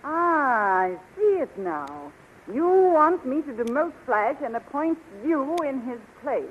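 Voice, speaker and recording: A woman's voice: sits high, sing-song, takes it slowly, full character voice. An actress playing a shrewd, regal queen who questions people theatrically in a 1930s radio serial. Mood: suspicious, shrewd. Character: imperious, shrewd young queen of a fantasy realm. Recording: muffled, noisy 1930s radio recording.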